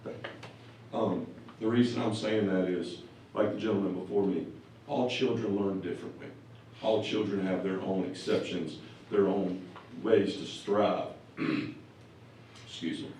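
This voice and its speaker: Man, deep voice, slightly hoarse, plainspoken, distant mic, heavy room echo. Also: steady tone, measured pace, pauses often, darkish tone, a little hiss or hum. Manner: plainspoken, asks the board pointed questions. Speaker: parent giving public comment. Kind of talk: public comment at a board meeting. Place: school board meeting room.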